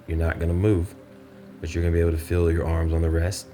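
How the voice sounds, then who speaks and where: Very deep-voiced man guiding meditation. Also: level tone, quick pace, dark tone, faint music behind. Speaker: meditation guide. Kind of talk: guided meditation.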